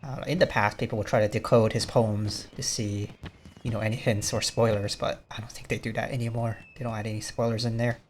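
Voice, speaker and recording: A man's voice: lowish voice, level tone, a little fast, slight background noise. A man giving casual, rambling let's play commentary in an understated way, thinking aloud as he goes. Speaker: gaming video host. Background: music behind the voice.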